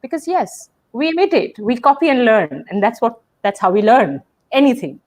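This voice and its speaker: A woman's voice: on the high side, expressive, normal speed, a little mellow. A woman hosting a webinar on a video call, speaking thoughtfully, with many fillers and restarts. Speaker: webinar host. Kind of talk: casual webinar conversation.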